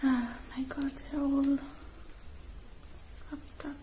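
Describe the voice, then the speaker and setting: Softly whispering woman, unhurried ASMR roleplay. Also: high-pitched, little pitch movement, a little hiss or hum. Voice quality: soft, breathy whispering. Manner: slow, gentle, murmured step-by-step narration. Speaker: ASMR creator playing a robot technician.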